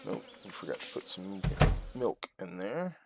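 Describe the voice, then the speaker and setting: Slow talking gamer doing a chill lets play, thinking out loud, loud buzzy clicky noise behind. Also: low-pitched, sing-song. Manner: unhurried, thinking aloud through tasks. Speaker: gaming video host. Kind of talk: casual let's play commentary.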